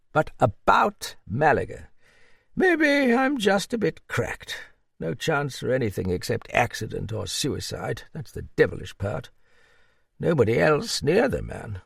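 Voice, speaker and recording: A man's voice: mid-range voice, gravelly, slightly rough, dry recording, clean studio sound, voice pushed up, heavily acted. A man voicing a blunt, motherly old woman with a hearty, blustery manner in an audiobook. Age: older. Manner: blustery, hearty, muttering asides. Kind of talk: audiobook character voice.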